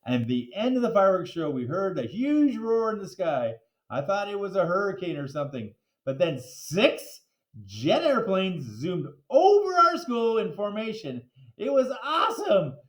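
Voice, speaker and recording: Male children's book reader: very expressive swings in pitch, animated narration, roomy sound. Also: moderate pace. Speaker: story reader.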